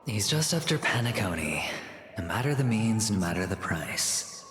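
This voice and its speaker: A deep-voiced young gambler from a game, wry and weary with a reckless edge. His low voice carries a long hall reverb with a faint hiss behind it. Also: very animated, normal speed. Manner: wry, gambling-talk, quietly resigned. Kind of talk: game cutscene voice acting.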